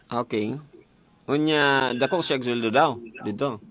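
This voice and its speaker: A man's voice: mid-range voice, measured pace, a bit bright, no room sound. A young Filipino man with a Cebuano accent hosting a casual call-in advice show on radio, bantering and teasing. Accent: Filipino, Cebuano-speaking. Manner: teasing, joking. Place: radio studio. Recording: music bed behind studio voice.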